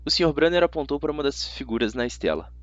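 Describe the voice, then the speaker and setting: A man's voice: medium pitch, animated, fast talker, no echo, muffled recording. A man with a Brazilian accent reading a first-person story as an amateur audiobook narrator, expressively.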